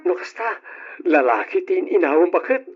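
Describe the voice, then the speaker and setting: An actor with an Ilocano accent playing a domineering, tight-fisted but doting husband in an old radio drama. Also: male voice, sits high, lively intonation, normal speed, over music. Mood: stern, irritated. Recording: dull, lo-fi old radio recording.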